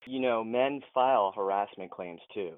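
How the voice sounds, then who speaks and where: An actor playing a frustrated, principled film producer in an audio drama, earnest and insistent, heard over a thin phone line. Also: male voice, on the low side, normal speed, no room sound, lightly acted. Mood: defiant. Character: frustrated, principled male film producer challenging his boss. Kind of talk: modern audio drama acting.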